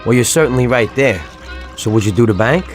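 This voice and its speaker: Actor as a greedy mid-pitched gangster sidekick. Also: male voice, lively intonation, fairly quick, over music, lightly acted. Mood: scheming. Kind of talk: video game voice acting.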